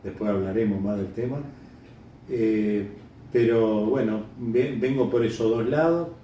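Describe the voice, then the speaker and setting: A middle-aged Uruguayan man answering interview questions off the cuff, halting and repeating words as he thinks. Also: on the low side, dark tone. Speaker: interviewee, bird breeder. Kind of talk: unscripted interview answers, reminiscing. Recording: echoey room, distant mic, faint noise.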